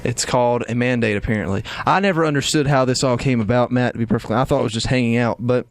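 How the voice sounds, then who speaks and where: A man's voice: mid-range voice, fast, dry recording. A young man hosting a casual morning radio chat on a studio microphone. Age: young adult. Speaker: radio show host.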